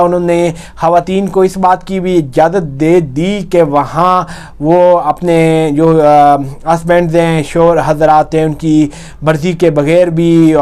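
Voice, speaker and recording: Male news commentator, Pakistani accent, smooth clear voice on the high side, explains and restates points. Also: darkish tone. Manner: explanatory, often rephrasing and repeating points.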